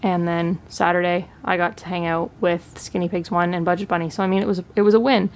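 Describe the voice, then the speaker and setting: A woman's voice: fairly low pitch, flat delivery, fairly quick. A young woman from Canada chatting casually at home about pet supplies she bought, enthusiastic and full of fillers. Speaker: pet channel host. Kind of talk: casual haul chat. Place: indoors at home, living room.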